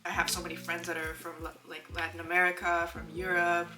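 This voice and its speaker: A woman in her twenties from Saskatchewan, chatting casually as an interview guest, relaxed and thoughtful with frequent filler words. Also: lowish voice, fast talker, recorded from a distance. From Saskatchewan, Canada. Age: 20s. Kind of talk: casual conversation. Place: home, indoors. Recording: echoey room, off-mic, music underneath.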